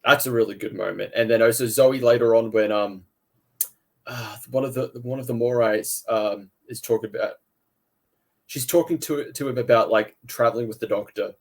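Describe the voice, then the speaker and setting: A man's voice: mid-range voice, fairly even intonation, normal speed, vocal fry, darkish tone. A man with an Australian accent co-hosting a podcast, chatting eagerly about an audio drama box set with frequent restarts. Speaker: podcast co-host. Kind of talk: casual review chat.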